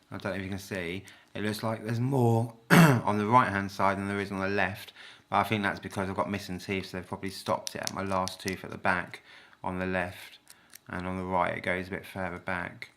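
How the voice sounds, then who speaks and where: A man with a bright, crisp-edged voice that sits a little low talks casually to the camera. He is unscripted and hesitant, working out his thoughts aloud in the way of a home reviewer. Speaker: vlogger reviewing a product.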